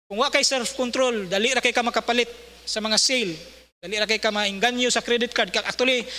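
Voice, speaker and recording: A man's voice: very high voice, pushed and bright. A middle-aged man with a Cebuano Filipino accent preaching conversationally from a lectern into a handheld mic, often asking "no?". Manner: conversational preaching, frequent "no?" tag questions. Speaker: church speaker. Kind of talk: sermon. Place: large hall with bleachers, indoors. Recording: reverberant hall.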